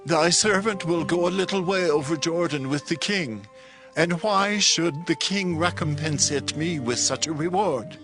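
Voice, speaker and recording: A man's voice: on the high side, a little slow, over music, close to an everyday voice. An actor playing a humble, weary man of eighty in a dramatized Bible audiobook, pleading in archaic scriptural phrasing. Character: humble, weary eighty-year-old man declining royal reward.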